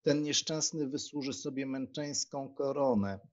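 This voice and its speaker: A man playing a cheerful, talkative young gentleman who teases with playful irony in an audiobook. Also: medium pitch, measured pace, close to an everyday voice. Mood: cheerful banter. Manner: bantering, ironic. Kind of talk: dramatized audiobook reading. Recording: slightly dull, muffled sound.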